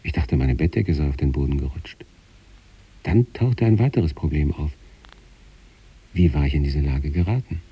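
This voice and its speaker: An actor playing an introspective sanatorium patient, narrating eerie experiences in a measured, deliberate, literary radio style. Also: male voice, dull audio, heavily acted. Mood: wondering, uneasy. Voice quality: husky, dark low voice.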